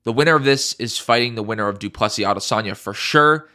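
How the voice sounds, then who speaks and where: A man's voice: on the low side, fast talker, sharp tone. A young man with a congested, stuffy voice giving casual fight predictions, emphatic and repeating his points, swearing now and then. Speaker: MMA YouTuber.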